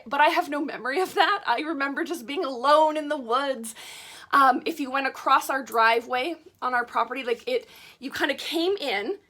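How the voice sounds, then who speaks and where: A woman's voice: high-pitched, pushed and bright. A woman in her forties hosting her channel, chatting casually and rambling with many fillers. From Canada. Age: 40s. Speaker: witchcraft channel host. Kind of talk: casual chat to camera.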